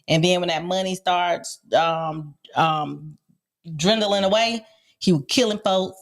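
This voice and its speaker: A woman's voice: lowish voice, takes it slowly. An American woman co-hosting a casual panel podcast, telling things emphatically and repeating herself for effect. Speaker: podcast co-host.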